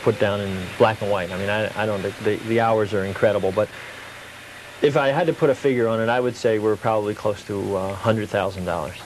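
A middle-aged man giving casual interview answers as a hobby collector, explaining earnestly and haltingly. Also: on the low side, steady tone, slight background noise, low-quality recording. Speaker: interview guest, model train collector.

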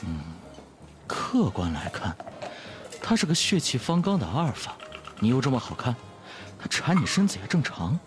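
A man's voice: mid-range voice, wildly swooping pitch, normal speed, pauses often. Guy voicing a cheeky teasing young dude in an audio drama, very up and down, music behind. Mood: matter-of-fact. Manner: teasing, exaggerated. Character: cheeky, teasing young male best friend, nosy. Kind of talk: Chinese audio drama acting.

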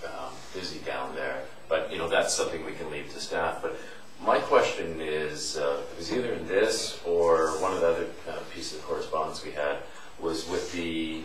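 A man's voice: rumbling low, moderate pace, fairly bright tone, a little hiss or hum. A man speaking as a town councillor, hesitant and rambling with many restarts. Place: council chamber, large indoor room. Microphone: table microphone, recorded from far. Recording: echoey room from a distant mic.

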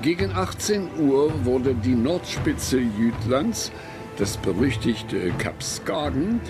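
An actor playing a formal, reverent courtier of the Kaiser, reciting a memoir in a historical radio play. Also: male voice, medium pitch, big pitch swings, takes it slowly, music in the background. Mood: content. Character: loyal courtier companion of the Kaiser recounting a voyage.

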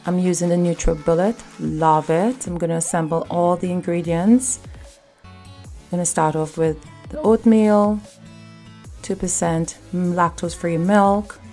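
Woman calmly narrating a recipe. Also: fairly low pitch, measured pace, faint music behind. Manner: calm step-by-step instruction. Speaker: cooking channel host. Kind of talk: voice-over recipe demonstration.

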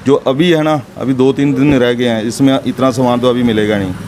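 A man's voice: medium pitch, steady tone, a little fast. A middle-aged man, a shopkeeper, interviewed inside his small shop with a handheld mic, talking plainly and repeating himself. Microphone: handheld mic held to him. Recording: street noise behind.

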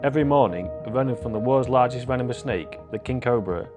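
A man's voice: medium pitch, brisk. A man hosting a vlog, guiding viewers on a tour in a chatty, enthusiastic way, then narrating. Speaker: vlog host. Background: background music.

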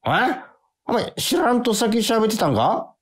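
A man's voice: high voice, big pitch swings, unhurried. A man playing a tired, indulgent, put-upon father with a mild, hesitant manner and a Kansai accent in a radio drama. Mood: patient.

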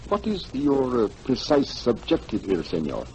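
An actor playing a polished, formal, quietly menacing male lawyer in a 1940s radio drama. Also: medium pitch, wildly swooping pitch, normal speed. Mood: courtly. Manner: formal, courtly. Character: polished, distinguished South American lawyer uncle, quietly menacing. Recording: dull, noisy 1940s radio recording.

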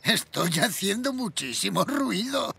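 A man's voice: high-pitched, very animated, a little slow, gravelly, exaggerated voice. A man voicing a kindly, hearty old zebra grandfather in a cartoon dub. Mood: fond, cheerful. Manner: chuckling, hearty, doting. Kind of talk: Spanish-dubbed preschool cartoon acting.

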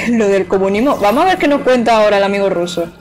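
A woman's voice: medium pitch, expressive, quick pace, darkish tone, faint music behind. Chatty woman streaming a game. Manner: chatty, playful, talks to chat and the game. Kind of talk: casual live gameplay commentary.

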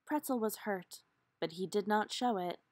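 A woman's voice: mid-range voice, moderate pace, a little mellow, dry recording. A woman reading a children's storybook aloud expressively, with dramatic emphasis on key words. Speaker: storybook reader.

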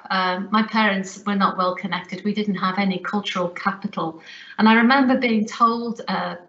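A woman giving a recorded lecture, speaking in a measured, reflective way over a webcam. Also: medium pitch. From Liverpool, England. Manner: measured, reflective, deliberate phrasing. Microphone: webcam on a video call. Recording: roomy, slightly dull webcam sound.

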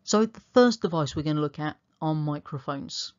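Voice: mid-range voice, expressive, moderate pace, clean tone, dull audio. A teacher narrating a revision lesson, explaining things step by step. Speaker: science teacher. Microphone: dynamic microphone.

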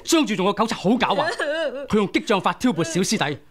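A man's voice: high voice, sing-song, fast talker, a crowd in the background. A man playing a loyal senior disciple in a radio drama, pleading urgently and earnestly. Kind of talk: Cantonese radio drama acting.

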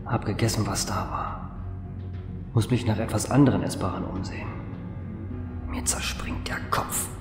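Guy acting a starving lonely man losing it, low weary mumbled diary entries, music behind. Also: echoey room, in a whisper, big-room sound, natural voice. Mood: weary despair. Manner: weary, halting, murmured. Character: starving, isolated man unravelling in his diary. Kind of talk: audio drama diary monologue.

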